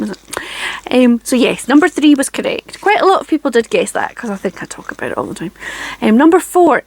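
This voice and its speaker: A Scottish woman in her forties, chatting casually to camera from her home craft room in a chatty, self-deprecating way with frequent ums and soft laughs. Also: on the high side, gravelly, slight background noise. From Scotland. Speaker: craft channel host.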